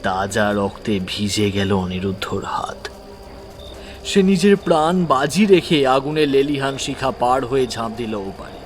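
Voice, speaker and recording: A man's voice: medium pitch, animated, normal speed, music in the background. A man narrating a horror story in a suspenseful, dramatic way. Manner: suspenseful, hushed at times.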